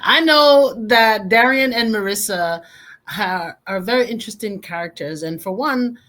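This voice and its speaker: A woman hosting an interview, asking measured, thoughtful questions. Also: mid-range voice, animated, a bit bright. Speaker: host.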